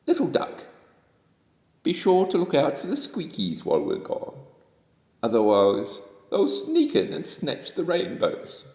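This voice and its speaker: A man's voice: on the high side, wildly swooping pitch, a little slow, a little mellow, pitched above the performer's own voice. A man voicing a kindly, emphatic male Man in the Moon from a children's storybook. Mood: eager, instructing. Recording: echoey room with long reverb.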